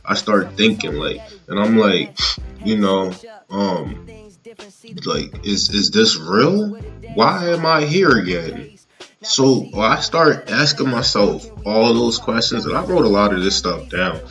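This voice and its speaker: A thirty-year-old man giving a casual personal monologue to viewers, rambling and halting, often checking in with the listener. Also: on the low side, lively intonation, a bit bright, faint music behind. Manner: rambling, halting, often checking in with "you know what I'm saying". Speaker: vlogger.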